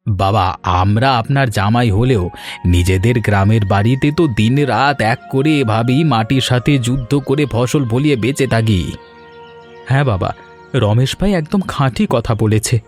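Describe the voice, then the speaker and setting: A man's voice: medium pitch, normal speed, lightly acted. A man voicing an eager, respectful young village son-in-law in a Bengali cartoon story, earnest and polite, with a Bengali accent. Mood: eager, insistent. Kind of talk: animated folk story dialogue. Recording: music bed under the voice.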